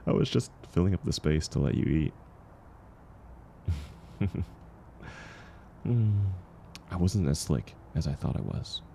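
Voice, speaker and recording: Casting: low-voiced, mellow drifter, acted by a man, world-weary but kind, gently encouraging in an intimate comfort roleplay; faint noise behind. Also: expressive, gravelly, exaggerated voice. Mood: easygoing, amused. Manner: gentle, encouraging, unhurried, chuckling often.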